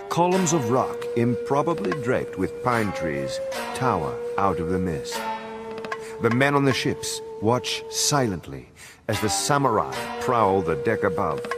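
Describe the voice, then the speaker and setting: A man giving a dramatic scripted historical narration for a game cutscene, in a measured, formal storytelling manner. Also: on the low side. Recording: music behind the narration. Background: background music.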